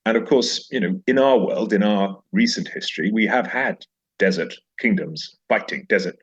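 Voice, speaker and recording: A man's voice: fairly low pitch, moderate pace, husky. A middle-aged man speaking as a podcast guest in an emphatic literary discussion, repeating phrases and posing rhetorical questions, on a dull recording. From South Africa. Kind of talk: animated conversational literary discussion.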